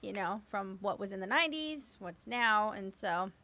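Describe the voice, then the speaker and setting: A woman from the West Coast with a raspy voice, answering interview questions casually with lots of "you know.". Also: medium pitch, moderate pace. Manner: relaxed, many fillers like "you know". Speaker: guest hip hop artist.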